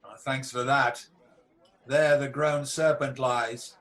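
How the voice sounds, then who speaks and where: A man's voice: mid-range voice, fairly even intonation, slow pace, fairly bright tone. An amateur actor playing a guilt-haunted tyrant king with a hospitable surface in a Shakespearean radio play. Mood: anxious, brooding.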